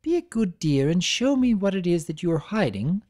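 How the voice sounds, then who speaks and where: A prissy, grandly spoken pony lady, put on by a woman reading a storybook aloud. She lifts her voice well above the narration and swoops through ornate phrases with a lively, musical lilt. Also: fairly low pitch, normal speed, pitched above the performer's own voice. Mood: prim, dazzled. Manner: posh, elaborate, dramatic diction. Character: prim theatrical adult mare fashionista.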